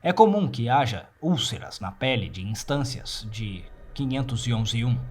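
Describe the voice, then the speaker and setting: A man with a Brazilian accent narrating a scripted fictional report in a measured, formal, clinical manner. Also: medium pitch, animated, breathy edge. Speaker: narrator of horror fiction files.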